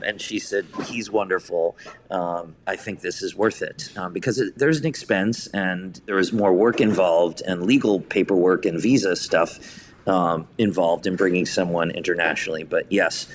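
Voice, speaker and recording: A man's voice: low-pitched, slight background noise. A man speaking as an interview guest, telling casual anecdotes in an enthusiastic, effusive way. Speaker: podcast guest, theatre director.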